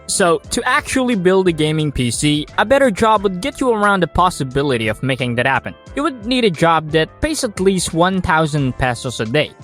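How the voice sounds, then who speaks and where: A man's voice: high-pitched, a bit bright. A man with a Filipino accent, delivering a scripted commentary in a wry, blunt and sarcastic way. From Philippines. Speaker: YouTuber. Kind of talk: scripted video-essay commentary.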